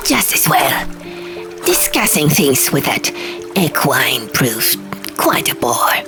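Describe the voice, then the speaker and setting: A woman's voice: rumbling low, very animated, slow pace, over music, exaggerated voice. A woman voicing a fussy, pompous stone gargoyle in an audiobook, with formal, prim, wordy phrasing. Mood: prim, musing. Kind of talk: audiobook character voice.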